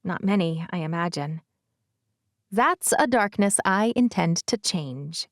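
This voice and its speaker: Female audiobook narrator for first-person fiction. Unhurried, expressive read, dry sound. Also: fairly low pitch, no echo.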